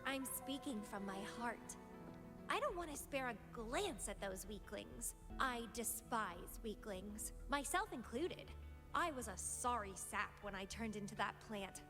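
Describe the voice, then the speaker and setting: High-voiced, teasing, obsessive young woman. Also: lively intonation, measured pace. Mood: teasing, excited. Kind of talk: anime-style video game voice acting. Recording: loud music behind the voice. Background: music.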